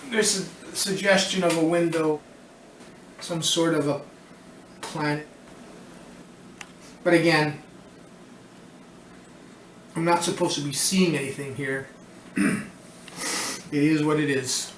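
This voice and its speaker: Man thinking out loud real slow, kinda high voice, far off in an echoey room. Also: fairly even intonation, a little hiss or hum. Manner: slow, musing, tentative. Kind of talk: musing commentary. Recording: distant mic in echoey room.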